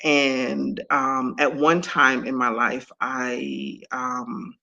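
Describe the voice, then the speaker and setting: A middle-aged woman from Antigua with a deep voice, talking as a guest in an interview in an animated, emphatic way. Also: drawn-out words, gravelly, highs cut off. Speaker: guest speaker and coach. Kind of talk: conversational interview.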